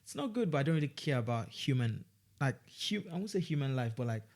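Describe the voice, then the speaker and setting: A young man with a Nigerian accent talks casually as a guest on a studio podcast. He rambles and restarts his sentences, in a mid-pitched voice. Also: sing-song, fast talker, a little mellow. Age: young adult. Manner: rambling, many restarts and fillers. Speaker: student guest.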